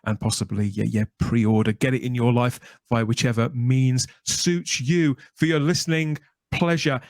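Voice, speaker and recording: Male podcast host, lowish voice, close dry sound, chatty enthusiastic presenter patter. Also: fairly even intonation, normal speed, no room sound. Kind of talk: podcast interview and scripted sign-off.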